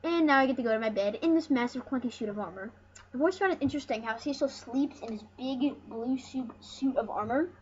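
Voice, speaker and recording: High-pitched, muffled woman casually narrating gameplay. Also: fast talker. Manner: joking, rambling, casually sweary. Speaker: let's play host and player. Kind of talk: casual gameplay commentary, reading game dialogue aloud. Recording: muffled lo-fi mic with background noise.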